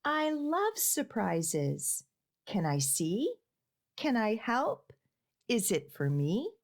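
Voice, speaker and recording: A woman's voice: on the high side, wildly swooping pitch, very slow, heavily acted. A woman reading the part of an eager, childlike little animal in a storybook, pleading and full of questions. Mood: eager, curious.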